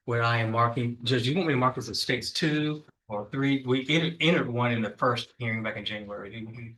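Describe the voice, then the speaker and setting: A man questioning as a prosecutor in a courtroom, speaking informally and haltingly. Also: mid-range voice, fairly bright tone, slight background noise, rough recording.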